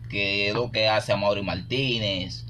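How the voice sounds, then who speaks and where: A man's voice: lowish voice, steady tone, a little slow, sharp tone, a little hiss or hum. A Dominican man hosting a casual radio interview with colloquial banter and short interjections. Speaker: radio host. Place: small radio studio.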